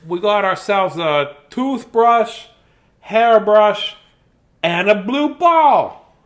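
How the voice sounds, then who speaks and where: A man puts on a cheery little male mouse from a children's picture book. The voice sits high and bright for a man, full of sing-song patter as it lists everything in the house in rhyme, eager and chatty like a tour guide. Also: lively intonation, extremely slow, heavily acted. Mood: chirpy. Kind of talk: children's storybook read-aloud.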